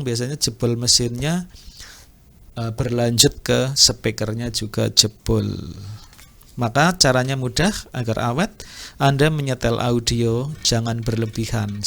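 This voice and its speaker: A man teaches in an informal way, taking his time over each point. His voice has a creaky, gravelly edge and he often repeats key phrases. The pace is slow, like a hands-on instructor talking a learner through basics. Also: medium pitch, a bit bright. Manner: unhurried explaining with repetition and throat clearing. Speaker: audio repair instructor. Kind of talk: informal spoken tutorial.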